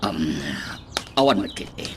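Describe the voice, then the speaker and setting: An actor playing an authoritative boss giving orders to staff in a radio drama. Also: male voice, sits low, sing-song, unhurried, background noise. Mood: stern.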